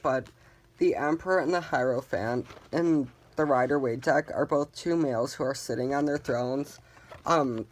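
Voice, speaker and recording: A tarot reader hosting a casual card reading, talking in a calm, reassuring way and guiding viewers, with frequent "um" fillers. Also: medium pitch, rough recording. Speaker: tarot reader, channel host.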